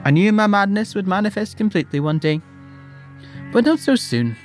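A woman's voice: mid-range voice, expressive, normal speed, darkish tone, faint music behind, natural voice. A woman acting a stubborn, anxious young shepherd and reluctant prophet, with a Scottish accent. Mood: exasperated. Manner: defiant, exasperated. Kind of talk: comedic audio drama.

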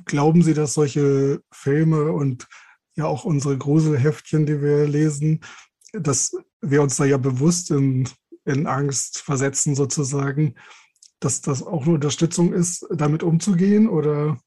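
A man's voice: fairly high pitch, fairly even intonation, a little slow, dark tone. A man hosting a podcast, asking questions and making announcements. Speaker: podcast host. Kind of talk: podcast interviewing and scripted announcements.

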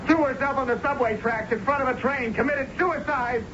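An actor playing an angry, grieving young son, heated and accusatory, in 1970s American radio drama style. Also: male voice, very high-pitched, brisk. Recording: muffled, noisy old radio broadcast.

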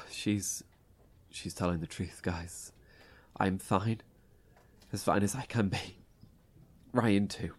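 A wounded man in a horror audio drama. His voice is low, husky and subdued, and he talks slowly and wearily as he reassures people. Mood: weary, resigned.

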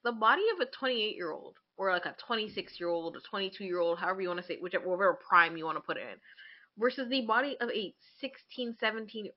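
Woman, mid-20s, podcast co-host. Blunt, emphatic, casual delivery at a middle pitch, slightly dull recording. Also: expressive, fast. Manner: blunt, frequent swearing, emphatic. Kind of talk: casual opinionated chat.

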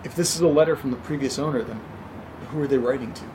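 Man acting quick, practical, curious investigator. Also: medium pitch, noisy background, close to an everyday voice. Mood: curious, prodding. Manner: casual, prodding. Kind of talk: amateur audio drama, naturalistic dialogue.

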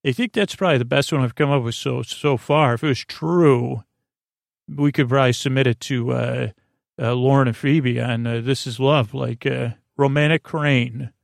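Creaky-voiced man murmuring a rambling monologue. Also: medium pitch, fairly even intonation, no room sound, clean studio sound. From United States. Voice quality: murmuring drone. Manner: meandering, halting, stammering, repeats words. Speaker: podcast host. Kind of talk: rambling bedtime podcast monologue.

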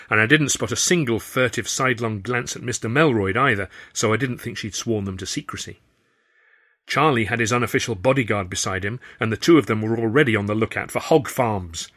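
A man reading his own first-person novel aloud as a wry, expressive storyteller. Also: lowish voice. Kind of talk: first-person audiobook narration.

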